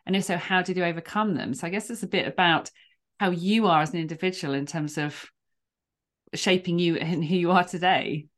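A woman's voice: on the low side, fairly quick. A woman hosting a podcast, chatting with a guest in an effusive, encouraging way. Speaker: podcast host. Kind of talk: conversational interview.

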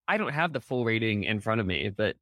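A man co-hosting a podcast in casual chat, excitable and full of fillers, his voice jumping up when he gets outraged. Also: on the low side, fast talker, dry recording, clean studio sound. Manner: many fillers and restarts. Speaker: podcast co-host. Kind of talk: casual chat about a TV episode.

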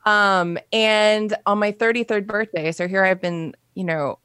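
A woman's voice: mid-range voice, fairly even intonation, a little slow, a bit bright, clean tone, dry recording, clean studio sound. A woman guest on a video call, frankly telling a personal story with frequent fillers. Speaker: podcast guest.

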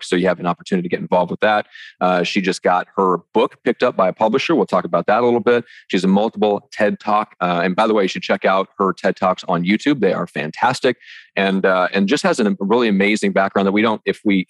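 A man's voice: low voice, no echo. A man from the American Midwest hosting a podcast interview, friendly and rambling. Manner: friendly, rambling with fillers and restarts. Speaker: podcast host.

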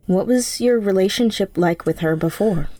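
An actress playing an empathetic, easygoing young woman, gentle and casual, in a naturalistic audio drama. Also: medium pitch, moderate pace, dry recording, lightly acted. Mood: sympathetic.

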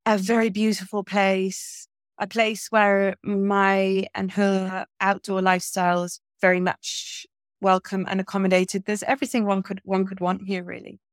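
A woman's voice: mid-range voice, no echo, studio quality. A middle-aged woman from England, a guest in a podcast interview, talking in a chatty, thoughtful way with many fillers and restarts.

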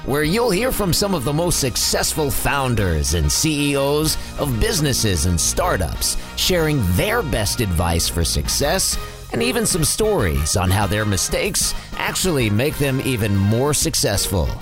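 A man's voice: medium pitch, wildly swooping pitch, unhurried. A man announcing a radio show intro in a scripted delivery. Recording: voice over loud music.

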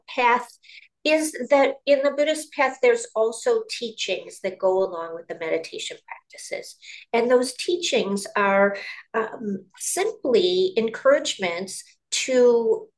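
A woman from Queens, a meditation teacher and author, explaining her practice calmly and carefully on a video call. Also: medium pitch, poor audio. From New York, Queens. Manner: calm, measured explanations with fillers. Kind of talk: interview guest. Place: outdoors or by a window in a loud city.

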